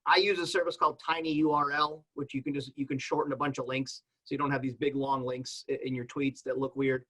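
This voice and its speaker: A man's voice: mid-range voice, flat delivery, fairly quick, pushed and bright, low-quality recording. A man speaking casually as a panelist and podcaster, explaining informally with examples. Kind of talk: casual spoken advice.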